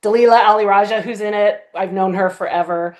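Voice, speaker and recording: A woman's voice: mid-range voice, a little fast. Enthusiastic woman telling behind-the-scenes stories. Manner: enthusiastic, animated storyteller who acts out quotes. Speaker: audio drama creator, director and editor. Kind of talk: casual interview chat.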